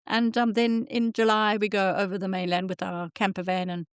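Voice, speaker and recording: A woman's voice: medium pitch, level tone, moderate pace, clean tone, no room sound, studio quality. An older woman from Zimbabwe with a Zimbabwean accent, hosting a casual interview chat with enthusiasm and frequent laughter. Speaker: podcast host.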